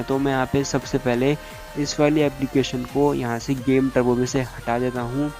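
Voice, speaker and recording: A man's voice: mid-range voice, darkish tone. A man giving a step-by-step phone tutorial as a YouTuber, repeating instructional phrases and mixing in English tech terms.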